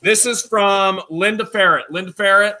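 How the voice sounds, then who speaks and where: A man co-hosting a casual vlog, opening fan mail and reading letters aloud with playful, animated jokes. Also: high voice, moderate pace. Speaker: channel co-host.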